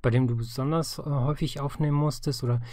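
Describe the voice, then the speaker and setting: A man's voice: medium pitch, steady tone, fast, mellow, no room sound. Man interviewing casually, close dry sound.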